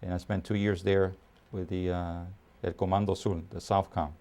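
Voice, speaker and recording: A man in his fifties from Puerto Rico, raised in New York, speaking as an army officer in a documentary interview, measured with frequent pauses and fillers. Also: low voice, level tone, mellow. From Puerto Rico, raised in New York City. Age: 50s.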